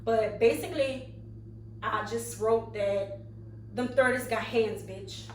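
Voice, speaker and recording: A woman of thirty, co-hosting a casual podcast chat, talking slowly and thoughtfully and trailing off quietly. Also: on the high side. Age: 30s. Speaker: podcast co-host. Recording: echoey room, mic at a distance, faint hum.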